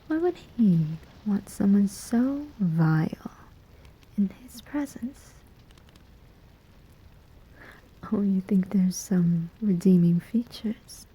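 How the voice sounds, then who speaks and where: A sly female demon, acted by a woman in a whispered ASMR roleplay. Her voice is very soft, dark and heavily breathy, and she takes her time, taunting with quiet menace and deliberate, teasing phrasing. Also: medium pitch, animated, full character voice, rough recording. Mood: disdainful.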